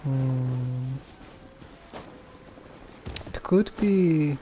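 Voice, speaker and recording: A man's voice: medium pitch, very slow, dull audio, over music. A man streaming a video game, musing casually and thinking aloud in a hesitant way.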